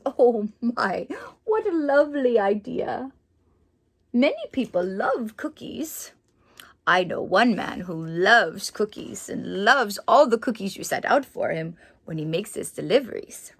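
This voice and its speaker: A woman in character as a children's storyteller, reading in a theatrical, gushing manner with exclamations and dramatic pauses. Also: on the high side. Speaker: children's storybook reader in character as Mrs. Claus. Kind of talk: animated storytelling for young children.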